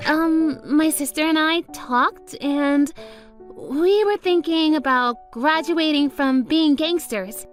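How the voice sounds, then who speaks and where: A woman's voice: high-pitched, slow. A woman voicing a brash teenage wannabe rebel girl in an anime-style romcom, secretly sweet, her bravado slipping into bashful stammers. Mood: shy, hesitant. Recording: music under the voice. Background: background music.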